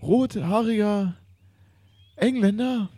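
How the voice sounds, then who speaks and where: A man playing a rambling, servile English butler with a husky voice in an improvised comic audio drama. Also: high voice, slow pace, dark tone, no echo. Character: eager, rambling English butler of an art collector.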